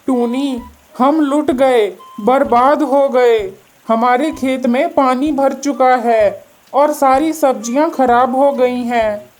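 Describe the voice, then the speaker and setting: A woman plays an earnest pigeon father in a children's cartoon. The voice is slightly high and slow, with a worried, lamenting edge. Faint music sits behind it. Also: fairly even intonation, a little mellow. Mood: distressed. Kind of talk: children's cartoon story acting.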